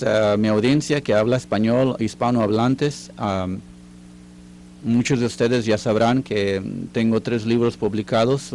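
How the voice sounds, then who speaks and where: An older retired man, a guest in a studio interview, answering slowly with many fillers and restarts. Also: fairly low pitch, darkish tone, a little hiss or hum. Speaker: guest, retired teacher and writer. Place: recording studio.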